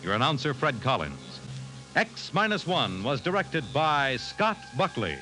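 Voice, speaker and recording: A man's voice: medium pitch, wildly swooping pitch, unhurried, low-quality recording. An American man doing formal, polished 1950s network radio announcing. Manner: polished, projected. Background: music.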